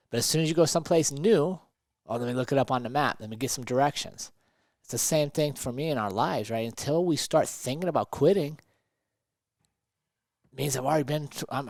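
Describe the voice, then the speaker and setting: A man's voice: mid-range voice, dry recording, clean studio sound. A man co-hosting a motivational podcast, talking emphatically and often checking in with rhetorical questions. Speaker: podcast co-host.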